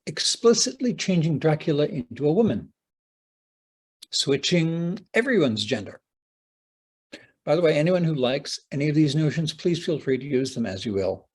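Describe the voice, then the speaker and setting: A man delivers a prepared lecture in a husky voice. His pitch moves widely as he speaks, with dramatic, deliberate phrasing and wry, opinionated asides. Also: very animated, a little mellow. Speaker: playwright giving a talk on adaptation.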